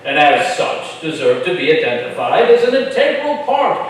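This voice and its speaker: Guy doing a pompous old-time magistrate onstage, high swoopy voice, really echoey room. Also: big pitch swings, moderate pace. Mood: self-important. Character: pompous chief magistrate, stumbling, self-important male colonial leader. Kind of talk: staged reading of a historical play. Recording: echoey room, far from mic, faint noise.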